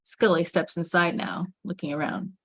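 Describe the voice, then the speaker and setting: A woman's voice: fairly low pitch, steady tone, moderate pace, fairly bright tone, slightly rough, dry recording. A woman reading stage directions as dramatic scripted narration, with suspenseful, emphatic phrasing.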